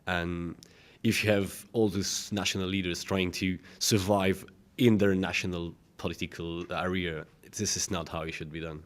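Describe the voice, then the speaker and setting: Male student, twenties, very low flat voice, interview opinion. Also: normal speed, hardly any pauses. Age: 20s.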